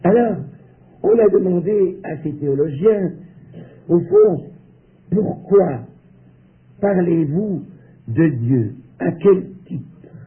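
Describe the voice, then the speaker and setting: A man preaching a sermon in a measured way, with emphatic pauses and rhetorical questions. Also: high voice, lively intonation. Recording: tinny phone-like line.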